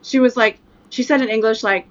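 A woman's voice: a little high, fairly quick, highs cut off. Chatty woman with lots of fillers. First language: Japanese. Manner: rambling, many fillers and restarts. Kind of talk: casual chat.

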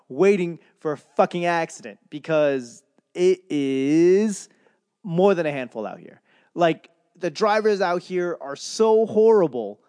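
A young comedian from Boston giving a casual, profane, rambling rant in a solo monologue. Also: male voice, on the high side, a little mellow, no echo, studio quality. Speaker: comedian and podcaster.

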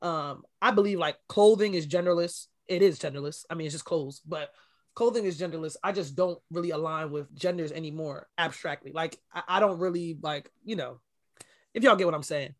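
Woman w a deep voice, podcast cohost, chatty and open, tells stories with a lot of life. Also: brisk. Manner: candid, animated storytelling with laughs. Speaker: podcast co-host. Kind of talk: casual chat.

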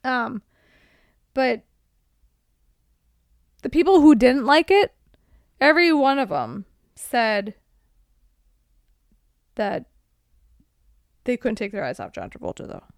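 A woman co-hosting a podcast in a casual, playful chat about film, with an animated way of talking. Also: on the high side, slow pace, long pauses, dry recording, clean studio sound. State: tired. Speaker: podcast co-host.

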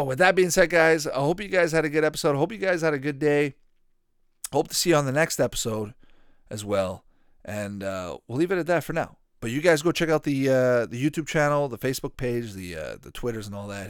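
Male podcast host, thirties, mid-pitched. Informal, loose, repetitive solo commentary, recorded dry with no room sound. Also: smooth, no echo. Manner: rambling, repetitive, informal with swearing. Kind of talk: casual solo commentary on retro cartoons.

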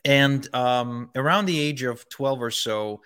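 A man's voice: mid-range voice, slow, a bit bright, smooth. Man from Ukraine telling his story. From Ukraine, later Israel. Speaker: podcast guest, online marketing entrepreneur. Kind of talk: conversational personal storytelling.

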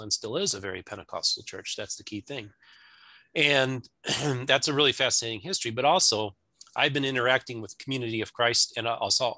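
A man's voice: mid-range voice, sharp tone, no room sound, rough recording. A man hosting an interview, talking in a casual, conversational style. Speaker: interviewer, host.

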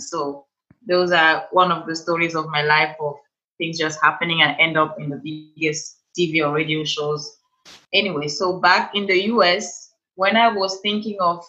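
A woman's voice: low voice, bright, forceful tone. A woman from Cameroon with a Cameroonian accent, telling her story in a chatty, self-amused way as a podcast guest. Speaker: podcast guest, life coach. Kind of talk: casual interview talk. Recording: dull lo-fi remote line.

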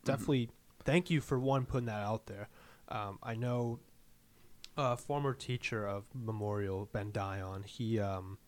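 Man in his twenties, lowish voice, chatty, joking, podcast co-host. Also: steady tone, measured pace, a little mellow. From Manchester, New Hampshire. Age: 20s. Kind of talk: casual podcast chat.

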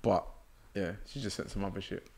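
Low-voiced laid-back man. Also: fairly even intonation, fairly quick, vocal fry, over a noisy crowd. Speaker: podcast co-host. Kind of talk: casual chat.

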